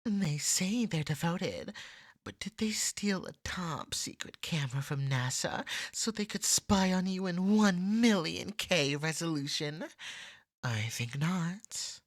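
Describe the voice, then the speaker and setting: A woman's voice: lowish voice, animated, slow pace, no room sound. A woman voicing a jealous, possessive cat girl in an ASMR roleplay, snide and self-satisfied but cooing and lovesick. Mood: jealous, scornful.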